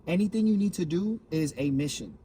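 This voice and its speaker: A young man from Miami chatting on a podcast, talking in a slightly high voice and swearing freely as he tells stories. His delivery is animated. A faint noise hums behind him. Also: a little fast. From Miami, Florida. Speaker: comedian guest. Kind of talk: casual podcast chat.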